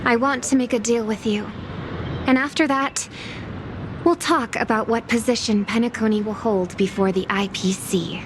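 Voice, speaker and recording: An actress voicing an earnest young woman who speaks calmly and sincerely about her brother, in a game scene with music behind her. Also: a little high, moderate pace, background noise, lightly acted. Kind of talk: game voice acting. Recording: game dialogue over music.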